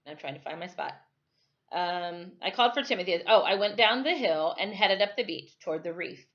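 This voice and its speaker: A woman reading a children's novel aloud like a teacher at storytime, phrasing it expressively and deliberately. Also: on the low side, lively intonation, dull audio.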